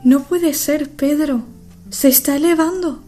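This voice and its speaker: Radio play young disciple, played by a woman: high pitch, hopeful wonder, roomy echo. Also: a little slow, warm tone, soft background music. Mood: amazed. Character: young male disciple John, hopeful and amazed. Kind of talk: youth radio play acting.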